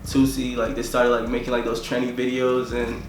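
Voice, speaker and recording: A young man from Tanzania talks loosely about himself, stringing phrases together with "like" over and over. His delivery stays level, with little rise or fall in pitch. The voice rings a little in an echoey room, with faint background hum. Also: monotone, normal speed. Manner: rambling, fills nearly every phrase with "like". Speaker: musician being interviewed. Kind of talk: casual interview talk.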